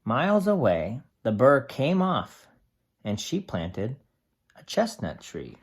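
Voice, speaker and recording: A teacher reads a picture book aloud to young children in a husky voice. The delivery is slow and deliberate, with lively swings in pitch and simple explanatory asides along the way.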